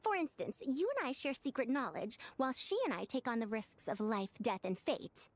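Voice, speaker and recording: A youthful lady scholar from a video game with a high, animated voice. She sounds proud of herself, lecturing in a boastful, pedantic way. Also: moderate pace.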